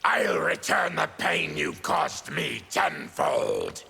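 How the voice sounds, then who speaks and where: A man voicing a savage, diseased mutant warrior and bitter former soldier in a video game, with a gravelly, menacing, forceful growl. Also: fairly high pitch, lively intonation, takes it slowly, soft background music, exaggerated voice. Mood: vengeful. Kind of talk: video game fighting character dialogue.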